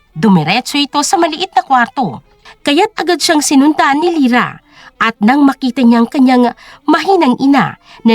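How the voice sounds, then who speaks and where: Female narrator, Filipino accent, high voice, lively intonation, dramatic storytelling, dry recording, faint music. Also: no room sound.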